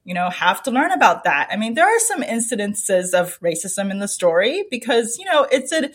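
A middle-aged woman with an American accent speaking as an interview guest, telling personal anecdotes in an animated, emphatic, conversational way. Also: medium pitch, normal speed. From United States. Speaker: interview guest, children's book author.

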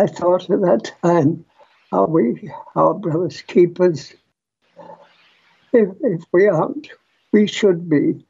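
A man in his nineties from Britain, speaking gravely and haltingly in a clip played from his podcast. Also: high voice, dark tone, lo-fi sound. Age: 90s. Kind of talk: solemn appeal.

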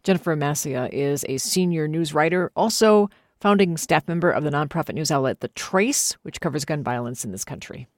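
A man's voice: on the high side, expressive, a little fast, few pauses. An American man hosting a radio program in a conversational public radio interviewing style.

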